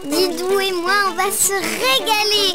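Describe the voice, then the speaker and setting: A woman voicing an eager, excitable little childlike sidekick in a preschool cartoon, with singsong exclamations. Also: very high voice, unhurried, over loud music. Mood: delighted.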